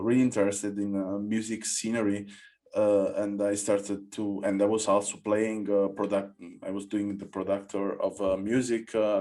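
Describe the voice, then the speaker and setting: Low-voiced Italian man, halting English. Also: darkish tone. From Italy. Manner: halting, searching for words in non-native English. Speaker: podcast host. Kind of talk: casual interview conversation.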